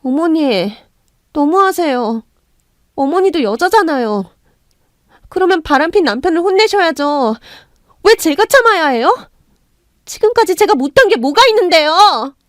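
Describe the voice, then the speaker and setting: An indignant young wife pleads her case with rising distress, voiced by a woman. Her voice sits high and close. The recording is dry. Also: sing-song, normal speed, a little mellow, dry recording. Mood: pleading.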